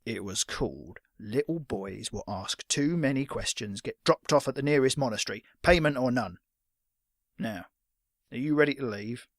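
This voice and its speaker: A man voicing a gruff, curt retired old fighter with a rough, lowered voice. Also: normal speed, dry recording, studio quality, close to an everyday voice. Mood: menacing. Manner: curt and menacing.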